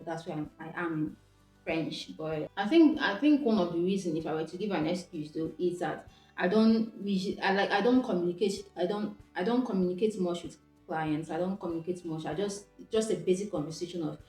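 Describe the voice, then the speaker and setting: A woman's voice: on the low side, brisk, warm tone. A young Nigerian woman with an Igbo first language, talking reflectively to camera and often restarting her sentences. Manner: reflective, with frequent restarts and self-corrections. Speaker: vlogger. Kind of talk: casual talking-to-camera vlog. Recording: echoey room with faint background music.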